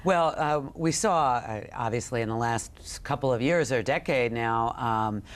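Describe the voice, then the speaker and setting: A middle-aged woman with a smooth, clear voice answers as an interview guest. She talks conversationally, pausing and feeling her way through each thought like an expert thinking aloud. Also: very deep voice. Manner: hesitant, halting, thinking aloud. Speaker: books editor, interview guest.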